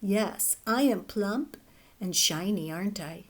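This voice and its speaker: A woman's voice: mid-range voice, lively intonation, slow. A woman voicing a greedy, selfish hen in a storybook, speaking in a self-satisfied, boastful way. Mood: smug.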